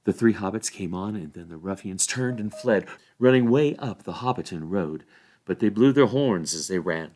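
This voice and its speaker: A man narrating a fantasy novel aloud in an expressive storybook style. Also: on the low side, normal speed. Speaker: volunteer story reader.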